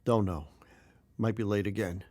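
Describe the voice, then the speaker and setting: A man's voice: on the low side, steady tone, normal speed, warm tone, no echo, studio quality, close to an everyday voice. An actor playing a busy, affectionate father and husband who hedges and hesitates in a period audio drama. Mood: hurried.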